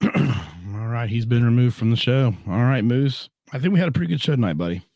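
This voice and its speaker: A man hosting a casual podcast chat, hoarse and clearing his throat often. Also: mid-range voice, animated, a little fast, darkish tone, no echo, studio quality. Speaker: podcast host.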